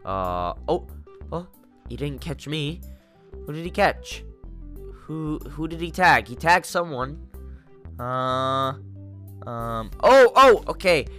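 A young man with a congested, stuffy voice giving excitable, jokey gameplay commentary to his viewers. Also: fairly high pitch, takes it slowly, pauses often, soft background music. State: congested from a cold. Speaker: gaming YouTuber.

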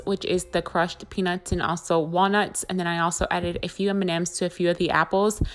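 Chatty woman vlogging from her kitchen. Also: lowish voice. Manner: chatty, talks straight to viewers. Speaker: lifestyle vlogger. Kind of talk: casual cooking and cleaning voice-over. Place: home kitchen.

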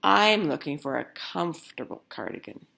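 A woman's voice: low voice, sing-song, normal speed, gravelly, bright, forceful tone, breathy edge, exaggerated voice. A woman voicing a fussy, polite old grandpa from a picture book, plaintive and politely insistent. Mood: plaintive, complaining.

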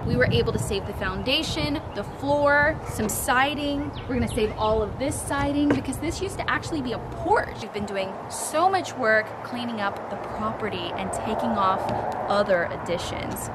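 A young woman vlogging casually, chatty and animated as she tours an empty old house she is renovating. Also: on the high side, moderate pace. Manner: chatty, animated, laughs often. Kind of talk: casual home tour vlog. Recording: very echoey empty rooms, noisy background.

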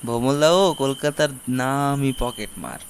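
A man's voice: mid-range voice, measured pace, slight background noise, natural voice. A man playing a chatty, boastful, dreamy village boy who confides his schemes, in an audio story. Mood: sly, boastful. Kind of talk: audio story character acting.

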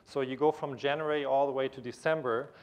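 A man's voice: medium pitch, fairly even intonation, fairly quick. A man in his thirties giving a conference keynote lecture in a large auditorium on a lapel mic, explaining with frequent restarts and fillers. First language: German. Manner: gestures while pacing the stage. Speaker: keynote speaker. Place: large auditorium stage with audience.

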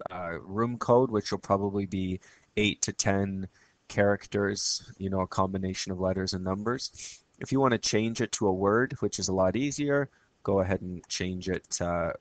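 A man who teaches, talking casually through a screen-recorded software tutorial, his voice a bit raspy from a cold, with plenty of fillers and restarts. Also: low-pitched. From Canada. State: has a cold, slightly raspy.

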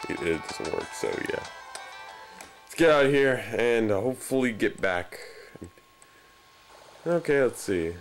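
A man's voice: mid-range voice, very animated, measured pace, long pauses. A man giving casual, improvised video game commentary in a sarcastic, irreverent way, with swearing. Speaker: let's play gamer. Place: very dark room, filming a screen. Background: video game music.

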